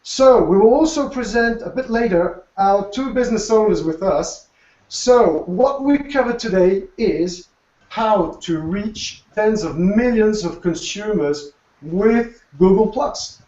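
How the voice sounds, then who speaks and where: High-voiced French man, echoey and distant. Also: expressive, a little mellow. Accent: Alsatian French. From Alsace, France. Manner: halting, hesitant English with many pauses. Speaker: co-host. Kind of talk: hosting a live video panel. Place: room in a restaurant venue in Strasbourg. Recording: echoey, distant, dull sound.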